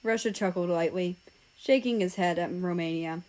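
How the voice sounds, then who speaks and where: A woman's voice: lowish voice, moderate pace, darkish tone. A woman narrating a story expressively, in an audiobook style. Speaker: fan fiction reader.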